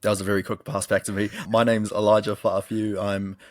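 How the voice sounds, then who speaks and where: A man's voice: fairly low pitch, level tone, brisk, dry recording. A young man with a New Zealand accent, hosting a casual podcast chat. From Auckland, New Zealand. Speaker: podcast host, sports journalist.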